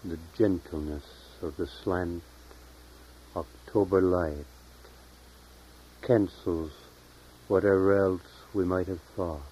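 A man reading his own poetry in a solemn, measured, deliberate way with long pauses, as a poet at a reading. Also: low-pitched, steady tone, warm tone. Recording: dull lo-fi 1970s tape recording.

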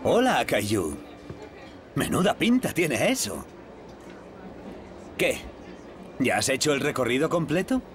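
A man's voice: medium pitch, wildly swooping pitch, slow pace, long pauses, music in the background. A man playing a friendly, playful train conductor in a Castilian Spanish cartoon dub, speaking encouragingly to a small child. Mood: friendly, upbeat. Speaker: cartoon voice actor.

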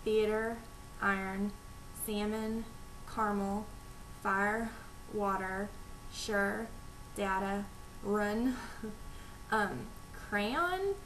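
A young woman from North Texas with a Texas accent, chatting to camera at home with lots of ums and reading out a word list over a weak, quiet webcam microphone. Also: mid-range voice, very slow, low-quality recording. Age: young adult. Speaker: vlogger. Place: small room at home, indoors. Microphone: webcam microphone, low volume. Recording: quiet webcam mic with hiss.

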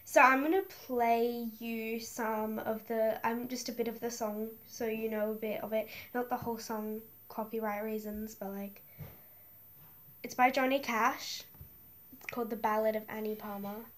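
A woman's voice: a little high, steady tone, normal speed. A young woman vlogging in her bedroom with a stuffy nose, chatting to camera in a rambling way and reading from her phone. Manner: chatty and rambling, many fillers and restarts. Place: small bedroom indoors. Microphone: webcam in front of her.

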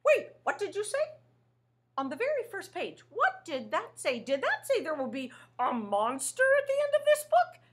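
A woman's voice: sits high, big pitch swings, measured pace, a bit bright, heavily acted. A woman voicing a fearful little male monster in a storybook read-aloud, pleading, panicky and wailing. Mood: worried.

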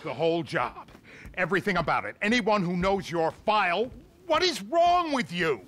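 High-voiced, scolding government agent. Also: male voice, sing-song, moderate pace, sharp tone, full character voice. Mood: exasperated. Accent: American. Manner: scolding, emphatic. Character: exasperated middle-aged male government agent in suit. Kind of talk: video game cutscene acting.

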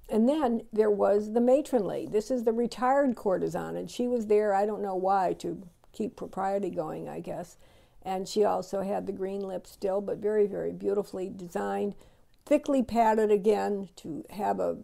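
A middle-aged woman hosting an auction and giving unhurried, admiring voice-over commentary, with frequent ums and restarts. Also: mid-range voice, creaky, warm tone. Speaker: auction host presenting antique dolls.

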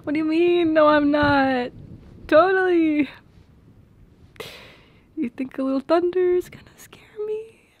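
Soft, slow-talking young woman, ASMR roleplay. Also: high voice, narrow, tinny audio, slight background noise. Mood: worried, teasing. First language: Polish. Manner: soft-spoken, hesitant, often dropping into whispers. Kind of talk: intimate ASMR roleplay acting. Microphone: close microphone.

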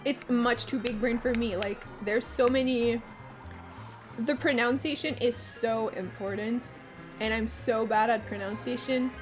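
A young woman with a French Canadian accent, whose first language is French, chatting casually and excitably while streaming a game, swearing freely and humming to herself. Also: on the high side, measured pace. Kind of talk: casual live-stream chat while gaming. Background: faint game music.

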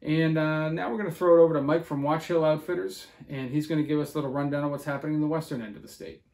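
A man's voice: fairly high pitch, fairly even intonation, quick pace, a little mellow, echoey room. A man in his thirties giving a conversational weekly fishing forecast from a living room, with plenty of fillers. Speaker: fishing report host.

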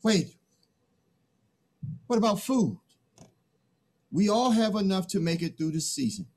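Man acting a husky, hesitant peacemaker. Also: high-pitched, animated, unhurried, darkish tone. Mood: earnest, troubled. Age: middle-aged. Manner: hesitant, earnest. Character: conscientious man pleading for the old ways. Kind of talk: dramatic play reading. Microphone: computer mic on video call.

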